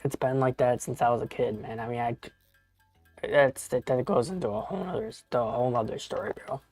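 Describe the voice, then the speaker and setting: A man's voice: medium pitch, a little fast, darkish tone, faint music behind. A man in his thirties chatting casually with viewers while playing a game on a live stream, rambling and swearing, often calling people bro and dude. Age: 30s.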